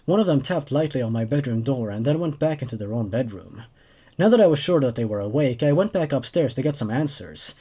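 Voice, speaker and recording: A young man narrating a story as host, reading expressively and in a measured way with dramatic pauses. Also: mid-range voice, animated, dark tone, breathy edge. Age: young adult. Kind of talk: story narration with casual commentary.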